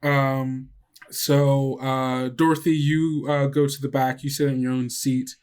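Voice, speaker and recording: A man's voice: mid-range voice, little pitch movement, a little slow, a little mellow, clean tone. A man narrating a tabletop game as game master, casual and conversational.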